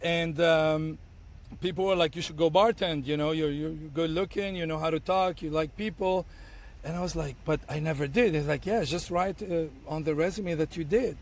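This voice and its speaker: A man in his thirties from Tunisia, whose first language is Arabic, telling his life story in an animated podcast chat, full of restarts and acted-out lines. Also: fairly high pitch, moderate pace. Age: 30s. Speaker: bartender guest. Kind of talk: casual podcast conversation.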